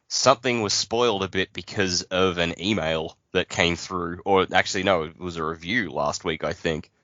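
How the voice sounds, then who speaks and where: A man's voice: low voice, pushed and bright, no room sound, dull audio. A man co-hosting a podcast, thinking aloud about a book in a rambling, casual way. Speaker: podcast co-host. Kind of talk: casual book club chat.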